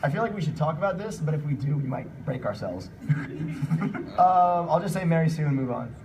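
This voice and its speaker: A man's voice: a little high, animated, fairly quick, gravelly, recorded from a distance. A man hosting a comic live reading of bad fan fiction, mock-serious and sarcastic, in a noisy convention room. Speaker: panel host and reader.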